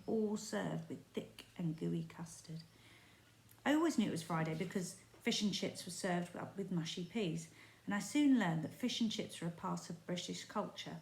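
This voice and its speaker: A woman teacher reads a book aloud in an expressive, even storytelling manner. Her voice sits a little low, and the recording carries a noticeable room echo. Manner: steady, expressive storytelling read. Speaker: primary school teacher. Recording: roomy echo.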